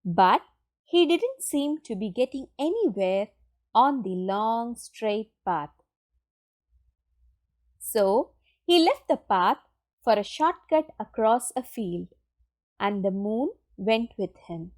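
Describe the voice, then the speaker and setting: Woman, children's read-aloud, fairly high voice, animated intonation, expressive, deliberate storytelling. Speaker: storybook reader.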